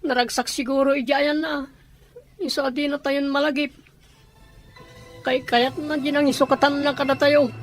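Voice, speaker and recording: Woman acting a sullen, high-voiced boy. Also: level tone, normal speed, long pauses, soft background music, lightly acted. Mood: sullen, dismissive. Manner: sullen, resentful. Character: bitter older brother, a young boy. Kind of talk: radio drama acting.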